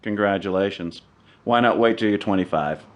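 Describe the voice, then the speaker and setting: An actor playing a shrewd, unflappable American defense lawyer in a radio drama, with a clipped, questioning manner. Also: male voice, fairly low pitch, normal speed, close to an everyday voice. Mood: measured. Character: shrewd, cool male criminal defense lawyer.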